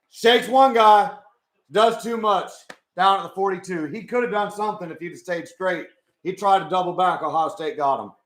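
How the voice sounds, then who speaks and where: A young man with a hoarse voice, chatting casually with his live chat as he watches a football game. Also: sits high, fairly bright tone, reverberant. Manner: chatty, swears, talks to chat. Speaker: live stream host.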